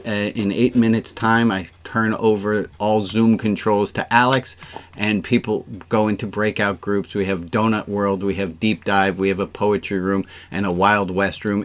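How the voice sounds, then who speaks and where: A man's voice: fairly low pitch, fairly bright tone. A man speaking as a rabbi, giving chatty, informal announcements to an online congregation on a video call, with joking asides. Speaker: rabbi hosting an online service.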